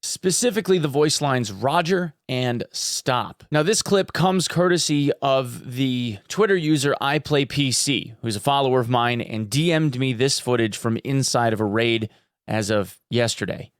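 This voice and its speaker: A man's voice: mid-range voice, measured pace, no room sound, clean studio sound. A man hosting a gaming news video in a chatty, informal way with playful emphasis. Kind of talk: casual news commentary with sponsor read.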